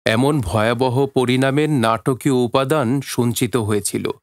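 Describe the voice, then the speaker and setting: A man's voice: mid-range voice, a little slow, dry recording, studio quality, natural voice. A man narrating a literary village mystery as the storyteller of an audio drama. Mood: solemn, suspenseful.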